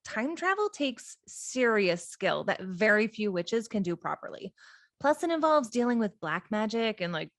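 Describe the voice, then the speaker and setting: A woman's voice: medium pitch, no echo, natural voice. A woman playing an earnest, hopeful young amateur witch in a table read, speaking candidly and conversationally. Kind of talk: informal script table read.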